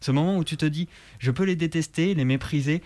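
A man's voice: fairly high pitch, fairly quick, a little mellow, no room sound. A man delivering a written first-person militant monologue in colloquial, slangy words, like a radio columnist.

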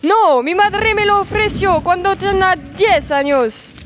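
A woman's voice: very high voice, a little slow, a bit bright, a little hiss or hum. A teenage girl playing a dreamy, indignant knight, Don Quixote, in a student audio drama. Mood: distressed.

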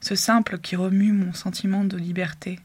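A woman reciting a poetic text in a calm, measured way, sometimes dropping to a hush. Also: medium pitch, husky. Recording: noisy background.

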